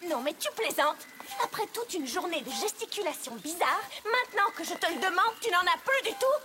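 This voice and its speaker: High lively cartoon unicorn pony, skeptical and lecturing, loud music behind. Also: female voice, normal speed. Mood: exasperated. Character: studious skeptical female unicorn pony, logical. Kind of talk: French cartoon dub. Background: loud music score.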